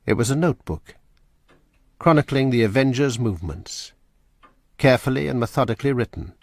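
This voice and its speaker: A man narrating a radio drama in a measured storytelling manner. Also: mid-range voice, animated, vocal fry, no echo. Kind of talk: scripted narration.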